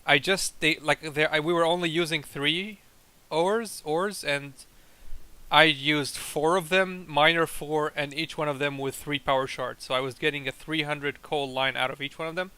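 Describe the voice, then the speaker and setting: A man's voice: on the high side, steady tone, moderate pace, bright, forceful tone, smooth, dry recording. A young man chatting casually and teasing his friend while explaining their factory in a game. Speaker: co-host playing a factory game. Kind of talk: casual chat over gameplay.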